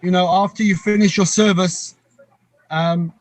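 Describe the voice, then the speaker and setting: A middle-aged man from Africa, answering an interview in a halting, reflective way that often pauses to find words. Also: high voice, steady tone, no echo, low-quality recording. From South Africa. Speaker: guest, co-founder of a veterans paddling program.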